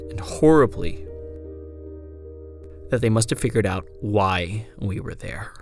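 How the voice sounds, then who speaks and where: A man performing a frontier gunslinger's first-person horror narration, in a hushed, deliberate delivery with drawn-out phrases and swelling emphasis. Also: fairly low pitch, natural voice. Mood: grim, regretful. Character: woman frontier gunslinger recounting her haunted, lost memories. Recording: music bed under the narration. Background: music.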